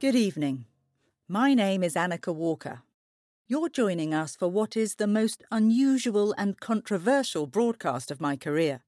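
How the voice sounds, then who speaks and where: An actor playing a composed female television news anchor, presenting formally in a measured, grave manner. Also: medium pitch, expressive, a little mellow, no echo, soft background music, close to an everyday voice.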